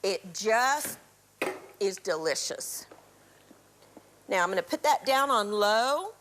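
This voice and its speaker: A middle-aged woman, raspy from a cold, chattily walking viewers through a recipe on a live TV cooking show. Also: mid-range voice, lively intonation, slow, a bit bright. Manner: chatty instructional, throat clearing. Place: TV studio kitchen.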